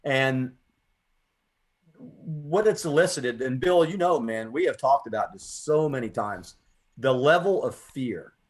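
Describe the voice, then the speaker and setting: An American man with a medium-pitched voice hosts a poetry radio show. He talks earnestly and reflectively, putting emphasis on his phrases as he moves between conversation and spoken-word delivery. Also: animated, measured pace.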